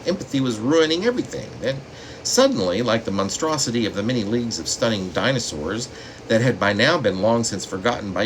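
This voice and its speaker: Very deep-voiced man reading poetry. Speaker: poet reading own work. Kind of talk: poetry reading with spoken asides. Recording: steady room noise, air conditioning hum.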